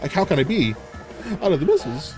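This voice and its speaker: A man in his thirties, a game streamer giving casual, excitable, jokey commentary while playing and laughing often. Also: fairly high pitch, quick pace, over music. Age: 30s. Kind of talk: casual live gameplay commentary.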